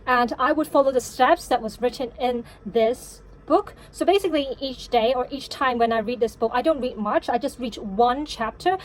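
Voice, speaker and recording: A woman whose first language is Cantonese, chatting casually to a vlog audience in a halting, self-correcting way. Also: high-pitched, slight background noise, rough recording. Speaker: vlogger and novelist.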